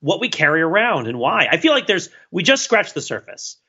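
A man's voice: fairly high pitch, big pitch swings, fairly quick, pushed and bright, husky, highs cut off. A man co-hosting a podcast in casual banter, wry and keen on small details. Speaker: podcast co-host.

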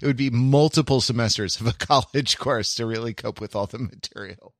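A man with a mid-pitched voice and a dry, close sound chats as a podcast co-host. His conversation is casual but analytical, with bookish phrasing that comes out in halting pieces. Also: no room sound.

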